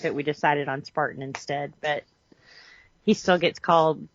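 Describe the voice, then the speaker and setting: A woman's voice: deep voice, steady tone, normal speed, highs cut off. A woman co-hosting a podcast, chatting casually with folksy, informal grammar. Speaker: podcast co-host. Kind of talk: casual chat.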